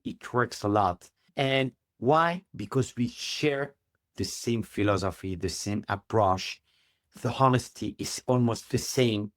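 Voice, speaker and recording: Man, middle-aged, halting upbeat English, dry close sound. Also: mid-range voice, no echo. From Tunisia. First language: Arabic. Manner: halting non-native English, earnest and upbeat. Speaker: guest. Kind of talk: interview answers.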